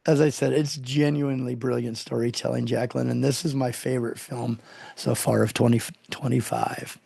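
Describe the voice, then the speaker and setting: A male interview host with a gravelly, creaky voice talks with effusive, gushing enthusiasm, often restarting his phrases. He is recorded dry and close, with no room around him. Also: medium pitch, level tone, normal speed, mellow, dry recording. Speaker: show host.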